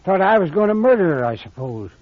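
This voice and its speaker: An actor playing a gruff, blunt older father and small-town mayor in an old-time radio serial. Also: high voice, sing-song, fast talker, dry recording. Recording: muffled old radio recording.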